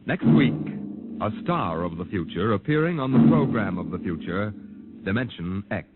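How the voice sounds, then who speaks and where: An enthusiastic male announcer reading a promo for an old radio show. His voice rises and falls in a lively way. The sound is thin and narrow, with loud music under it. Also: lowish voice, moderate pace. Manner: enthusiastic, salesy. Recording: thin 1950s radio transcription.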